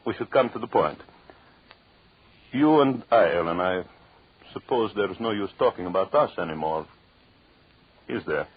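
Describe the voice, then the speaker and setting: An actor playing a vengeful, coldly sinister husband with formal, mock-polite speech in an old-time radio drama. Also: male voice, lowish voice, expressive, moderate pace, long pauses, breathy edge, no room sound. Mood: mock courteous. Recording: muffled, narrowband old radio broadcast.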